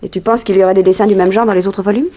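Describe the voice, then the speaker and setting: A woman's voice: medium pitch, very fast, close to an everyday voice. Spirited woman acting a detective's jealous companion. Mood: curious. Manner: expressive, questioning. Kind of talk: 1960s French radio drama acting.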